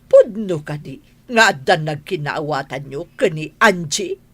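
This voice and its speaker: A woman's voice: low voice, lively intonation, measured pace, breathy edge, full character voice. A woman acting a haughty, scornful madam of the house in a radio drama, scolding and suspicious, with an Ilocano Filipino accent. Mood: stern, suspicious.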